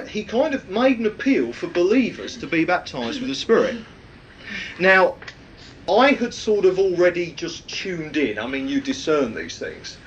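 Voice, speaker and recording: A man with a loud, projecting voice, preaching a rambling, anecdotal sermon with many fillers. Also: medium pitch, highs cut off, slight background noise.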